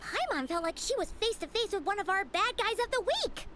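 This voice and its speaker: Tiny squeaky, extremely high fairy girl. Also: big pitch swings, brisk, fairly bright tone, a little hiss or hum, heavily acted. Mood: amazed. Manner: bubbly, speaks of herself in third person. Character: tiny, excitable, childlike female fairy companion. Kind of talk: scripted video game voice acting.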